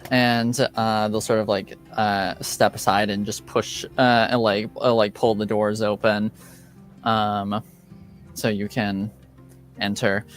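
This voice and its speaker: Man, game master, halting narration, slow, mid-pitched, faint background music. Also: level tone. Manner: halting, filler-heavy narration.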